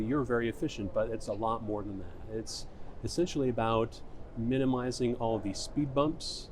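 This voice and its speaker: A middle-aged man giving measured, explanatory interview answers as a medical specialist, often restating his point. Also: mid-range voice, darkish tone. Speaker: emergency radiologist being interviewed. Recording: clear ambient venue noise. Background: background ambience noise.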